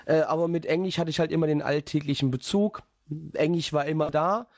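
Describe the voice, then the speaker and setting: A man's voice: a little high, fairly even intonation, brisk, darkish tone, no echo, studio quality. A young man rambling through a personal story in casual podcast chat, with many fillers and restarts. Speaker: podcast host.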